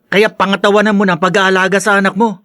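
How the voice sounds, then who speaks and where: A man's voice: high-pitched, flat delivery, quick pace, pushed and bright, no room sound, clean studio sound. A man playing a protective, reproachful young adult brother with a Filipino accent in a radio drama. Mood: scolding.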